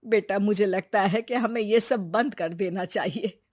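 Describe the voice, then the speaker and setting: A woman voicing a gentle, self-effacing old village grandmother in a cartoon moral story, affectionate and hesitant. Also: mid-range voice, a little slow, no echo, clean studio sound, natural voice. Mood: worried, disheartened. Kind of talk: scripted animated moral story dialogue.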